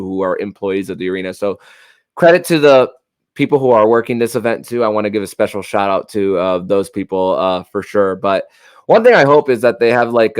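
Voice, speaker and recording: Halting, low-voiced man hosting a podcast. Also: smooth, no echo. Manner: halting, stammering with repeated words. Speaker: podcast host. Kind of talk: conversational sports podcast interview.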